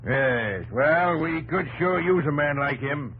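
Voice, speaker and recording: An actor playing a fiery American frontier newspaper publisher and vigilante leader, blustery and folksy, in a 1950s radio drama. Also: male voice, on the high side, animated, slow pace. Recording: noisy old radio broadcast with hum.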